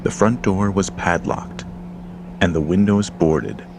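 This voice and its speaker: A man narrating a horror story in a slow, measured, hushed storytelling manner. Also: deep voice, background noise. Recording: music bed under voice.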